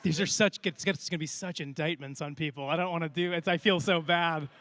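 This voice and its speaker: A man's voice: a little high, fairly even intonation, a little fast, a bit bright. A man, a voice actor, bantering in a jokey, emphatic way on a live convention panel. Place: large convention panel hall. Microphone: stage microphone. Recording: noisy live convention hall PA.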